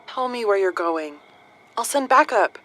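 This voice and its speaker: A woman's voice: mid-range voice, animated, measured pace, a bit bright. A woman playing a brusque but caring commander of hired guns in an audio drama, dry, direct and conversational. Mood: wry, commanding.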